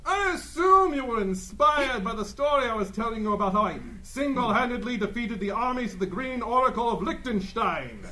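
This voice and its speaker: A man playing a pompous, self-adoring gentleman adventurer, declaiming floridly in a melodramatic comedy radio play. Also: very high voice, expressive, brisk, hardly any pauses, a little hiss or hum, full character voice, low-quality recording. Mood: smug.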